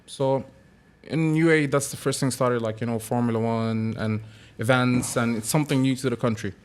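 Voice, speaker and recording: A man in his thirties from Sudan, a podcast guest talking in a relaxed, easygoing way and laughing often. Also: mid-range voice, a little fast, clear, resonant tone. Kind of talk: casual chat.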